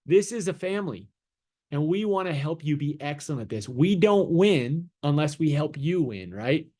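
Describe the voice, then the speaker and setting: Man, host of a business call; fairly high voice, eager and hurried. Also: very animated, darkish tone. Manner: upbeat, hurried, repeats words. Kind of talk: casual pitch on a video call. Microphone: video call.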